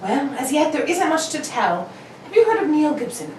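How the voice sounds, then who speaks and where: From a little way off in an echoey room, a woman plays a brisk, self-assured detective in a radio mystery. The voice is fairly high and very animated, with formal, precise phrasing. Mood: cheerful, brisk. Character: Sherlock Holmes, brisk, cheerful, self-assured male master detective. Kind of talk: 1940s radio drama read-through without British accent. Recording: roomy, distant mic, faint noise.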